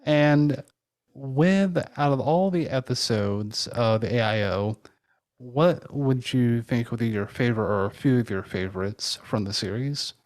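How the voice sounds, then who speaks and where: A man co-hosting a conversational interview, speaking in a halting, tentative way. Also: mid-range voice, darkish tone. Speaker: podcast co-host interviewing a guest.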